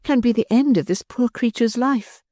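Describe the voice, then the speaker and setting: A gentle, compassionate young lady, earnest and tender, her voice set higher than the narration, as a narrator puts her on. She sounds warm and soft. The recording is dry and close. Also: moderate pace, no room sound, natural voice.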